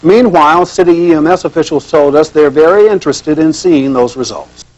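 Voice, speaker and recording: A man's voice: a little high, fairly quick, a little mellow. A man anchoring a television newscast, reading scripted news. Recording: dull lo-fi TV newscast tape.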